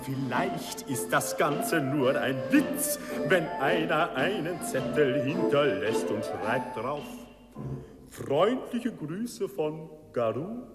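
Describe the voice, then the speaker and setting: A man's voice: on the high side, animated, takes it slowly. An actor playing an amused, mocking man gossiping over the newspaper, theatrical and exclaiming, in a 1950s radio operetta. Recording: 1950s radio recording, reverberant, loud music.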